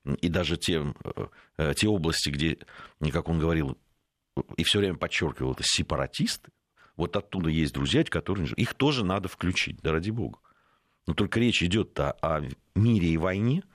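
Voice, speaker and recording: A man with a very deep voice making his case on a political talk show, lifting his pitch sharply when he hammers home a point. The sound is close and dry. He is recorded in a studio. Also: expressive, fairly quick, no echo. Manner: argumentative, rising to stress points.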